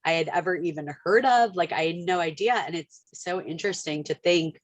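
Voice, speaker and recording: A woman's voice: lowish voice, a little fast, no echo. A woman telling personal stories in a chatty, easygoing way during a webinar on a video call, laughing easily as she goes. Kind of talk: webinar conversation.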